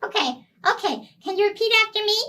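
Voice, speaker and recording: A woman voicing an excitable, childlike storytime puppet in an eager, squeaky, exclamatory way. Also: brisk, far from the mic, heavily acted.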